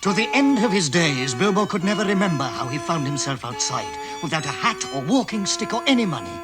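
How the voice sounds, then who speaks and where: An actor as a storyteller, reading scripted narration for a vintage radio drama. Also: male voice, sits high, very animated, a little fast. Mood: steady storytelling. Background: music.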